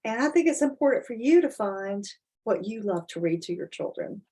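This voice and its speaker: Lady doing expressive kids storytime, bit husky, mid pitched voice, chatty. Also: normal speed. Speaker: children's story reader. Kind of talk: dramatic storybook reading with casual explanatory asides.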